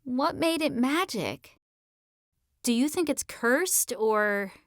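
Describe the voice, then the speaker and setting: A woman playing a sharp, argumentative sixteen-year-old girl in an audiobook, speaking in a slangy, emphatic, insistent way. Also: sits high, animated, slow pace, dry recording, clean studio sound. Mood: questioning.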